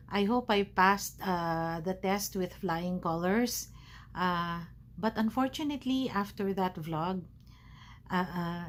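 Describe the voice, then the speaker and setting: A woman from the Philippines with a Filipino accent, talking casually to camera at home while recovering from asthma, pausing often with fillers. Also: on the low side, a little hiss or hum. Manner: hesitant, many fillers. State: recovering from asthma, feeling cold. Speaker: vlogger. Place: at home, wrapped in a blanket.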